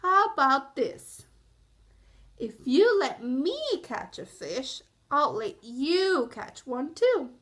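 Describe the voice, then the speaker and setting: A woman voicing a determined, easily exasperated bear in a children's storybook, with emphatic, drawn-out exclamations. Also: sits high, big pitch swings, fairly bright tone, exaggerated voice. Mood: bargaining.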